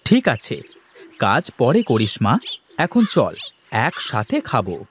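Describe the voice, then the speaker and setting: Man playing a caring cartoon father, lively intonation. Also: mid-range voice, a little slow, a little hiss or hum. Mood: affectionate. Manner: affectionate, concerned. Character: kindly, caring father and shopkeeper husband. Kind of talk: Bengali cartoon voice acting.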